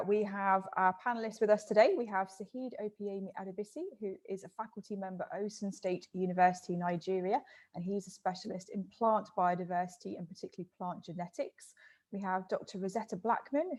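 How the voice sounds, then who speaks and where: A woman's voice: mid-range voice, fairly even intonation, brisk, darkish tone. A woman moderating an online panel, upbeat and welcoming as she introduces the speakers.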